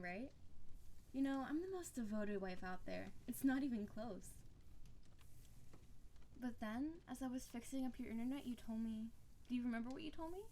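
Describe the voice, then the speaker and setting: A woman's voice: a little high, a little mellow, a little hiss or hum, close to an everyday voice, rough recording. A woman playing an affectionate, possessive snow-spirit wife in an intimate roleplay audio drama, teasing and doting on her husband. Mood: nostalgic, devoted.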